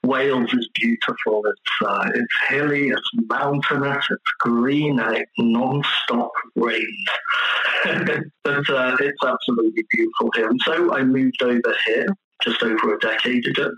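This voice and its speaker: A man's voice: mid-range voice, fairly even intonation, unhurried, sharp tone, reverberant, low-quality recording. A man with an English accent, a pastor answering interview questions in a friendly, chatty way. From east of England. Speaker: pastor, podcast guest.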